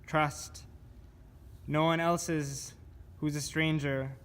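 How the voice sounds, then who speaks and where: A man giving a scripted spoken-word reading of poetic survival instructions in a measured, deliberate manner. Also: a little high, level tone, a bit bright. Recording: clear background noise.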